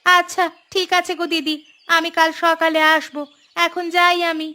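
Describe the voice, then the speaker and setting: Homely cartoon housewife, female actor: high, bright, sharp-tongued yet caring. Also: level tone, moderate pace. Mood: friendly. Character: sharp-tongued but caring younger village wife and mother. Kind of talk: cartoon voice acting.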